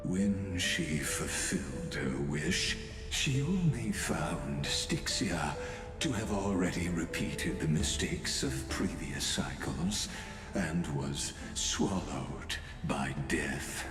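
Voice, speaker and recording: A man playing a grave, ominous narrator of a tragic legend in a fantasy game, measured and foreboding, with an echoing voice. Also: extremely low pitch, expressive, full character voice. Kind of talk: solemn dramatic game narration. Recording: echoey long reverb, loud music.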